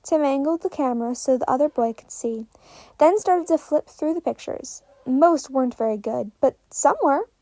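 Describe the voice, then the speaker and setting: A woman reading fan fiction aloud as an expressive story narrator. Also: high voice, moderate pace, no room sound.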